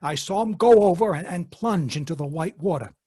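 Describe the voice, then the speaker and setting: Actor as a high, husky, pleading fisherman. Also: fairly quick, warm tone, dry recording, studio quality. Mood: anguished, earnest. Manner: stammering, earnest. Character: frightened accused fisherman pleading his innocence. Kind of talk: old-time radio drama acting.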